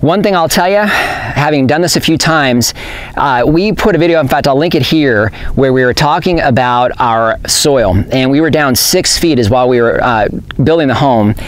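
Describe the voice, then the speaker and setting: A man's voice: medium pitch, moderate pace. A middle-aged man giving a casual, practical how-to explanation outdoors on open farmland, filmed by a camera a few metres away. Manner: plain, practical, talks with his hands. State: a little out of breath. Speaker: homesteading vlogger.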